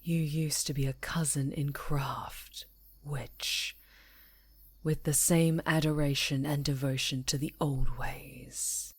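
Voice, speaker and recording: A woman playing a proud, solemn female werewolf chieftain in an ASMR roleplay, speaking gravely and formally in a husky, breathy voice. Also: low voice, fairly even intonation, no room sound, heavily acted. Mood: bitter scorn. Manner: slow, grave, formal pronouncements.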